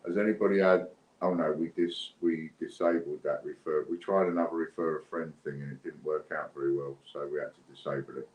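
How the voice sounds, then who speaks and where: A man's voice: very deep voice, steady tone, fairly quick. A British man hosting a giveaway livestream in casual, unscripted, chatty and rambling talk. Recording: dull lo-fi, roomy echo, clear background noise.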